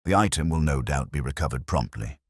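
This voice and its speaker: A man's voice: very deep voice, expressive, brisk, breathy edge, dry recording, studio quality, heavily acted. A man voicing a self-important town council official in an audio mystery, pompous and intoning.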